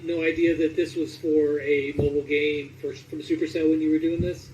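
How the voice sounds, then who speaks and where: A man's voice: fairly high pitch, flat delivery, normal speed, darkish tone. A man casually interviewing a guest in loose, conversational questions. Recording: roomy echo, distant mic, faint noise.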